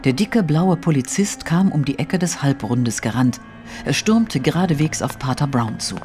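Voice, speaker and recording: A man narrating a detective audio play in a measured, literary, suspenseful way. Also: a little high, expressive, a little mellow, faint music behind, close to an everyday voice. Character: storytelling narrator of a mystery.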